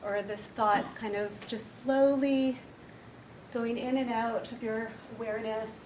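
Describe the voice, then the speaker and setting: Soft-spoken woman guiding a meditation. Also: fairly high pitch, steady tone, slight background noise. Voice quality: quiet voice. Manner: soft, slow, gentle and unhurried. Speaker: counsellor leading a workshop. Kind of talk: guided meditation in a workshop talk. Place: large echoey room with an audience. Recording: echoey room recorded from far off.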